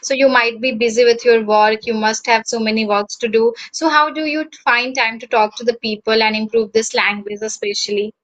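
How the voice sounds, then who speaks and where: Halting, curious woman interviewer. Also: a little high, steady tone, fairly bright tone, poor audio. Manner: inquisitive, halting phrasing with restarts. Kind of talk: casual conversation on a call.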